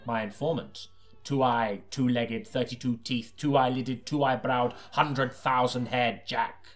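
A brooding hard-boiled detective, played by a man, narrates his case in a mid-pitched voice. He is grave and portentous, delivering noir parody with a completely straight face. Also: fairly even intonation, slow, faint music behind, close to an everyday voice. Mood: deadpan.

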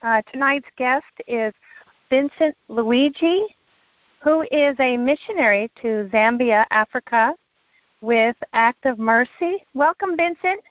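Woman host, high-pitched, friendly, dull lo-fi audio. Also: animated, slow pace, dry recording. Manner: friendly, with hesitant fillers. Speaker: radio show host.

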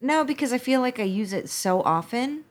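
A woman whose first language is Albanian, co-hosting a podcast and reading listener questions in a relaxed, hesitant way with many fillers. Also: a little high, moderate pace, a crowd in the background. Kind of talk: casual chat.

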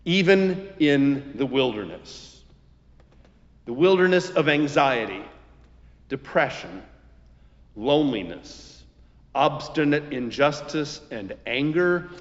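Slow-paced male preacher in a reverberant hall. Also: fairly high pitch, highs cut off. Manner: deliberate, emphatic phrasing with pauses. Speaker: pastor. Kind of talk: sermon.

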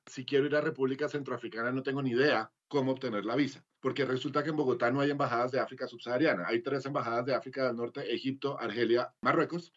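A man with a Colombian accent speaking frankly and argumentatively as an expert guest in a panel discussion. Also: medium pitch. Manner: frank, argumentative, uses rhetorical questions. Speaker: panel guest, Africa specialist. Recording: slightly dull, lo-fi audio.

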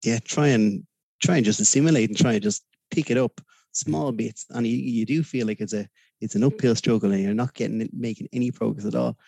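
Gravelly Dublin Irish man. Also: medium pitch, brisk, mellow, dry recording, poor audio. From Ireland. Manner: rambling, hesitant, many fillers, laughs easily. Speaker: podcast guest. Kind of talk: casual interview chat.

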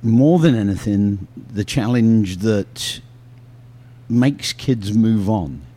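Mellow man musing with many fillers. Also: fairly low pitch, animated, slow pace. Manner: reflective, joking, filler-heavy. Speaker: youth soccer coach. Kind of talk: casual podcast discussion.

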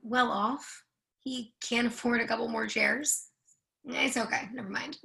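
Woman acting a brash Irish woman, creaky and bright. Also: on the high side, fairly even intonation, measured pace, heavily acted, low-quality recording. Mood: blunt, teasing. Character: brash, breathless Irish woman, blunt and teasing. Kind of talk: readers theatre stage-play acting.

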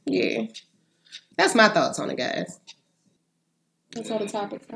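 A woman's voice: medium pitch, very animated, takes it slowly, gravelly, a bit bright, a little hiss or hum. A woman from Michigan with a Midwestern American accent, chatting casually as a podcast co-host. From Michigan, United States. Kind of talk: casual chat.

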